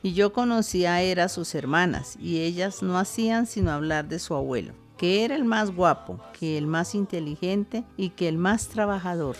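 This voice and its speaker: An older woman with a Colombian accent playing a warm, contented grandmother reminiscing with her family in an amateur radio play. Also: fairly low pitch, normal speed, hardly any pauses, close to an everyday voice. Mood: fond reminiscing. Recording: music under the voice. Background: music.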